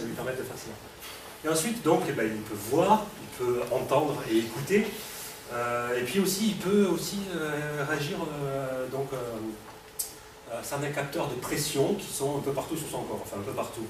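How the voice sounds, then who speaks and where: A man's voice: mid-range voice, normal speed. A man with a cold presenting a robot live to an audience, speaking casually with lots of fillers and questions to the room. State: has a cold. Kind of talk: live technical demo to an audience. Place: hall with an audience. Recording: echoey room, distant mic, background noise.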